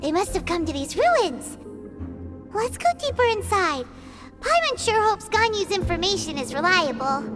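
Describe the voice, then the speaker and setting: A woman voicing a tiny, excitable floating companion girl in a video game, who chirps and talks about herself by name. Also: very high-pitched, normal speed. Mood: chirpy. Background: game music.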